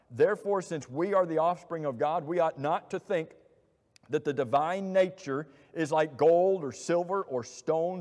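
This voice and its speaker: A middle-aged man preaching a sermon from a church pulpit, animated and asking many rhetorical questions. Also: a little high. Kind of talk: expository sermon. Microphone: lapel mic. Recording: long hall reverb.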